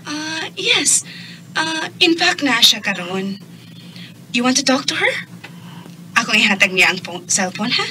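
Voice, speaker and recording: Bright, high polite female supervisor. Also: big pitch swings, a little slow, pauses often, a little hiss or hum, exaggerated voice, rough recording. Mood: polite, helpful. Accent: Filipino, Visayan. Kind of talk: Bisaya radio drama acting.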